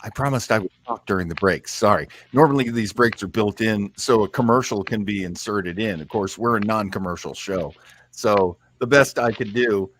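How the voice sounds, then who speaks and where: A man's voice: on the low side, normal speed, a bit bright, a little hiss or hum. A man hosting an old-time radio show, chatting casually in a rambling, hesitant way. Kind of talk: casual live chat and commentary.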